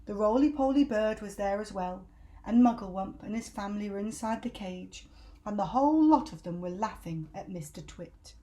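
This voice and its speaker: A woman reading a children's book aloud in an animated, emphatic storytelling style. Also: medium pitch. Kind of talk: expressive scripted narration for children.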